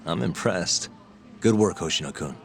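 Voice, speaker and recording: A gruff, world-weary detective speaks low and bluntly, in terse, dry lines like a game cutscene. Loud music plays behind him. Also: male voice, lively intonation, normal speed. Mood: dry, casual. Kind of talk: video game voice acting, cinematic dialogue.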